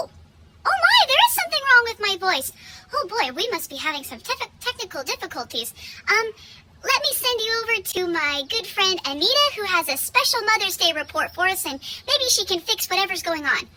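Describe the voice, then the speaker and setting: A childlike girl presenting a kids' news skit. Her squeaky voice is extremely high and swoops around with a flustered, exaggerated delivery. There is faint noise behind her. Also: big pitch swings, quick pace, pauses often, mellow, reverberant. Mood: flustered, worried. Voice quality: squeaky, funny-sounding voice. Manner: exaggerated, pleading little-kid delivery. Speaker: host of a children's church news segment.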